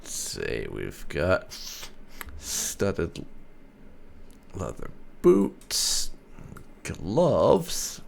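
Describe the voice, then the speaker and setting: A man doing casual playthrough commentary as a gaming host, musing aloud in an unhurried way as he plays. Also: low voice, wildly swooping pitch, vocal fry, a little mellow.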